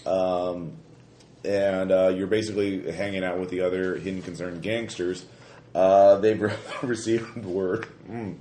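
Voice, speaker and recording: A deep-voiced man with a stuffy head cold runs a tabletop game, rambling through fillers and restarts. His voice sounds slightly hoarse. The recording is roomy, with a faint hiss underneath. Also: little pitch movement, unhurried, dark tone. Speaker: game master. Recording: roomy echo, faint noise.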